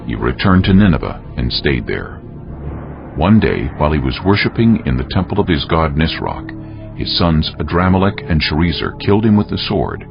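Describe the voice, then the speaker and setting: A man's voice: a bass voice, vocal fry. A man giving solemn, scripted dramatic narration of scripture as a storyteller. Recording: dull audio with music underneath. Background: music.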